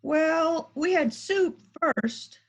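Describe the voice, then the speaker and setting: A woman playing a housewife suspected of murder in an amateur radio play read-through, hesitant and measured, over a video call. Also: very high-pitched, lively intonation, a little hiss or hum, full character voice. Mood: shocked innocence. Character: housewife murder suspect, feigning innocence, resentful wife.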